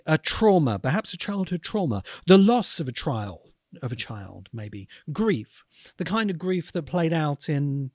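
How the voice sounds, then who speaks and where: A man hosting a podcast, speaking in reflective commentary with an earnest, emphatic delivery and deliberate dramatic pauses. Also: medium pitch, darkish tone, no echo, clean studio sound. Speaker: podcast host and video essayist.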